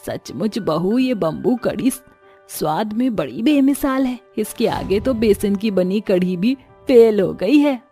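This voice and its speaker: A woman's voice: medium pitch, expressive, a little slow, warm tone, soft background music, close to an everyday voice. A woman voicing a poor older mother-in-law with a grumbling, homely manner. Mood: content. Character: poor old mother-in-law, stubborn then grateful.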